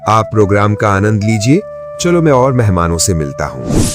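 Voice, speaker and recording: A man's voice: fairly low pitch, very animated, moderate pace, over music. A man voicing an arrogant, domineering rich elder brother in a moral-story cartoon. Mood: polite. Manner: scornful, domineering.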